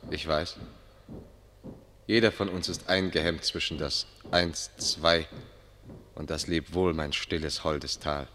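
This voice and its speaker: An actor in a German radio play as an attentive guide who describes things in a measured, deferential way. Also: male voice, rumbling low, fairly even intonation, bright, forceful tone, a little hiss or hum, exaggerated voice. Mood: resigned. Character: attentive male guide, quietly describing the world to His Excellency.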